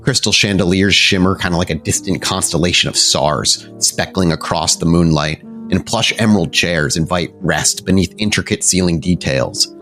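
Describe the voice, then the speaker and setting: Low-voiced man narrating as game master. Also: moderate pace, hardly any pauses, pushed and bright, soft background music. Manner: descriptive, searching for words aloud. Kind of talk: improvised cinematic narration of a tabletop game.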